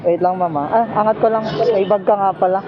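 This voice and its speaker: A man's voice: high-pitched, fairly even intonation, quick pace, phone-quality sound, noisy background. A man chatting casually and teasingly with a passenger as a motorcycle taxi rider and vlogger. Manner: jokey, teasing, giggly. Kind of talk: casual chat with a passenger while riding. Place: outdoors on a motorcycle in traffic. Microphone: helmet intercom headset. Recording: loud music, motorcycle intercom on road. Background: clear background music, vehicle sounds.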